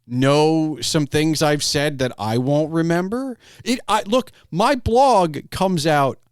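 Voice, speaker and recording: Slow-paced man with a very clear voice. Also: on the high side, no echo, clean studio sound. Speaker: podcast host. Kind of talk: casual interview conversation.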